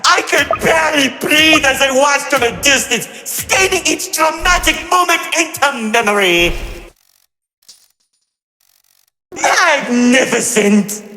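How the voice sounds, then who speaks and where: A man's voice: very high-pitched, bright, forceful tone, full character voice. A man voicing a gleefully cruel trickster villain in a game, telling tales of war in a mocking, flippant, melodramatic way. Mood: awed, dramatic. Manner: mocking, flippant, melodramatic, swinging between grandeur and glee. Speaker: narrator villain. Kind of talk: theatrical storytelling narration. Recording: echoey hall reverb over music. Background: music.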